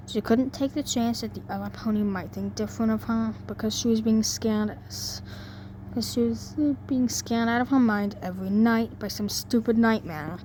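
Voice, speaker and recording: A woman narrating a story in an amateur reading, stumbling over words and rereading them. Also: medium pitch. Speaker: fan fiction reader. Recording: noisy amateur home recording.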